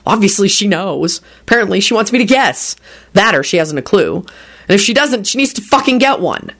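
A woman acts an exasperated, demanding man in a storybook reading. He barks sarcastically and impatiently, his pitch jumping around. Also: big pitch swings, fairly quick, fairly bright tone. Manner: barking, exasperated, swearing.

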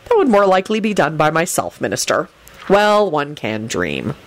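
A woman voicing a calm, dignified male law officer with a polite, measured, dry manner in a story reading. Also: sits low. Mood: polite, stern.

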